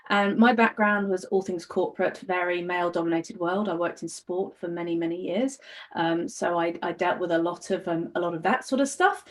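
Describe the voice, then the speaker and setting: An English woman with a fairly low voice chats as a podcast guest. She sounds earnest and eager and often restarts her sentences with ums. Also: moderate pace. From United Kingdom. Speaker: life coach, podcast guest. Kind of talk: casual podcast conversation.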